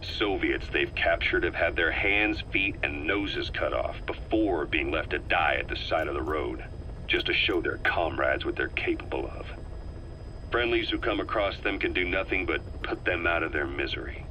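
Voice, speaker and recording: An actor playing a grim, seasoned soldier giving a grave, deliberate war briefing in a video game. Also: male voice, deep voice, sharp tone, slight background noise, rough recording. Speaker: military briefing narrator in a game. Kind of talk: scripted briefing monologue in a video game cassette tape.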